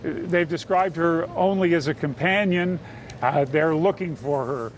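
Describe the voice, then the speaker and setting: Higher-pitched man reporting live, halting delivery. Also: a little hiss or hum. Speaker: news reporter. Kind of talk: live unscripted breaking news report.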